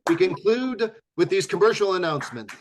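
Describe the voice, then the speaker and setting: Higher-voiced man, formal theatrical announcer. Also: lively intonation, a little fast, heavy background noise. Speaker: announcer and host of a live radio drama show. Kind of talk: scripted closing announcements, old-time radio show manner.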